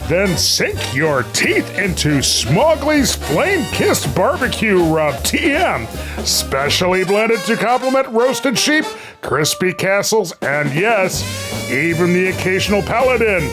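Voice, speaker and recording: An upbeat commercial announcer, played by a man pitches in a fairly high, animated voice. The salesy parody radio spot has punchy, drawn-out emphasis over music. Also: heavily acted.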